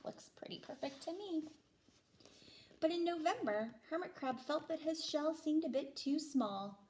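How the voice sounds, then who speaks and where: A woman's voice: high voice, a little slow, a little hiss or hum. A woman teaching kindergarten, reading a picture book aloud expressively and explaining as she goes. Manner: expressive, explanatory, with stressed phrases. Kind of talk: picture book read-aloud for young children.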